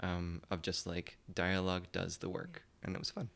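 A man with a fairly low voice talks casually as an interview guest. He is loose and giggly, letting thoughts trail off and picking them up again. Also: monotone, moderate pace, vocal fry, a bit bright. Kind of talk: casual chat.

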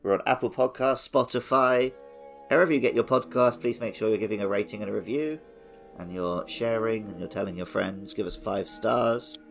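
A man's voice: lowish voice, steady tone, normal speed, gravelly, faint music behind. A man co-hosting a casual game podcast, jokey and self-mocking as he reads the promos. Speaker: podcast co-host.

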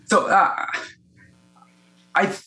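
A man from Guatemala with a Guatemalan Spanish accent, telling his story conversationally as an interview guest, often halting and rephrasing. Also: pushed and bright. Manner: halting, with repeated words and self-corrections. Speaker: podcast guest, executive coach.

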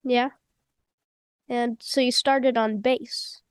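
Nasal host with a head cold. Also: female voice, on the high side, level tone, slow, clean tone, no room sound, clean studio sound. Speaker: podcast host. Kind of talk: interview hosting.

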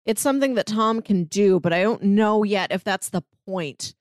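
A woman's voice: mid-range voice, normal speed, dry recording, studio quality. A woman co-hosting a podcast, talking casually through a book in a conversational way. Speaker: podcast co-host.

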